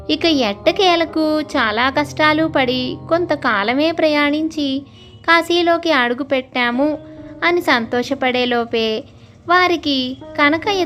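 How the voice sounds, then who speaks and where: A woman with a high voice narrates a scripted moral story as its storyteller. Light music plays quietly behind her. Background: soft music.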